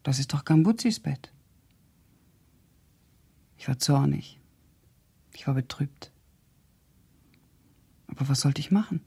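An actress playing a blunt, sardonic older woman with a husky, gravelly voice, indignantly loyal to an old friend, recounting memories in a German radio drama. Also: deep voice, expressive, dark tone, full character voice. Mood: reflective, bitter. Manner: blunt, sardonic, deliberate storytelling.

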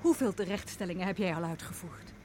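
A woman's voice: lowish voice, lively intonation, fast, mellow, close to an everyday voice. An actress playing a headstrong, blunt woman journalist in a radio play, curt, challenging and emotional. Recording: noisy radio play recording, car sounds. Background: car engine and road noise.

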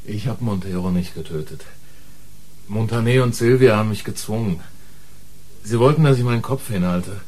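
An actor playing a cornered, hesitant crime suspect in a radio drama, halting and defensive. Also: male voice, fairly low pitch, a little mellow, natural voice. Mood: defeated. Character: cornered, hesitant male murder suspect confessing.

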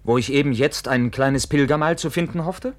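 A proud young knight templar played by a man in a classical radio play. He has a medium-pitched male voice and a formal, clipped stage manner. He comes across as brooding and wry. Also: moderate pace, close to an everyday voice. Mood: weary, wry. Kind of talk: classical German radio drama acting.

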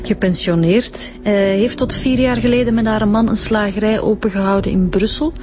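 An actress playing a brisk Flemish police inspector who reports facts plainly, in a radio drama. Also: female voice, mid-range voice, steady tone, lightly acted.

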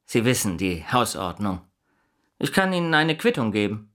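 A man's voice: fairly low pitch, very animated, moderate pace, a bit bright. An actor playing a reluctant, officious male administrator with a stiffly formal manner in a radio play. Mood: awkward.

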